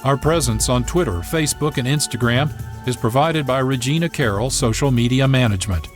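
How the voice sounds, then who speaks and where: A man reading scripted programme narration as a radio host, measured and formal. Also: mid-range voice, soft background music.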